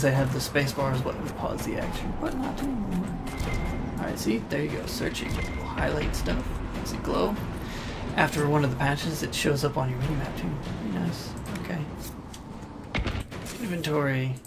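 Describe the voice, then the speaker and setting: Gravelly man giving casual game commentary. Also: on the high side, lively intonation, moderate pace. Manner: informal, rambling, thinking aloud. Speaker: gaming video host. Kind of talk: casual let's play commentary, explaining game interface. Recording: noisy voice-over over game ambience. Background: video game sounds and music.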